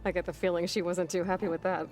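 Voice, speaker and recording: An actress playing a young warrior heroine in a video game, earnest, guarded but caring, with a measured, dry wit. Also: female voice, on the low side, little pitch movement, darkish tone, soft background music, close to an everyday voice. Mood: amused, curious. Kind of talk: video game cutscene acting.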